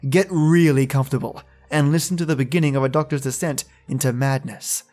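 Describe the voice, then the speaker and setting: A man hosting a podcast, reading scripted spooky storytelling narration in a theatrical way with dramatic pauses. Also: medium pitch, steady tone, darkish tone, faint music behind.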